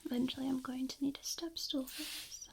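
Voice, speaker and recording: A woman speaking softly and whispering in a hushed, gentle ASMR style, giving step-by-step demonstrations into a close microphone. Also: sits high, steady tone, brisk, gravelly. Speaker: ASMR host demonstrating plant care in a role play. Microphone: close binaural microphone. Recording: faint background noise, binaural close-miked.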